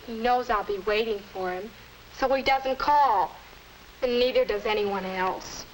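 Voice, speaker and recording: High-voiced earnest teenage girl played by a woman, old film. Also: measured pace, close to an everyday voice, rough recording. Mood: plaintive. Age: young adult. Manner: plaintive, earnest. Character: teenage girl, earnest, fretting over her steady boyfriend. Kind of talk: 1950s instructional film acting. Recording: hissy 1950s educational film soundtrack.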